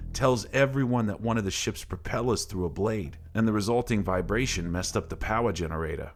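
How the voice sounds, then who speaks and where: A man narrating a creepy first-person tale like a salty, colloquial barroom yarn, dramatic and gravelly, with swearing. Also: lowish voice. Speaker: horror story narrator. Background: faint ambient background music.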